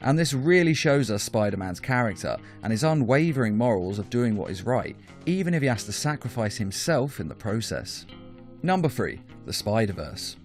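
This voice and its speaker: A man narrates a scripted top-five video as its host. His pitch is middling and swings widely as he explains and stresses his points. Soft plucked guitar music plays behind him.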